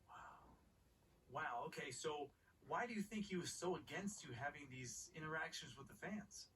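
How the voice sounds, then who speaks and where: A man playing a wary, wry sports journalist in a video game, measured and a little formal in his speech. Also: on the high side, animated, fairly bright tone, distant mic, slight background noise, poor audio. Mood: inquisitive. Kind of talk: cinematic video game dialogue.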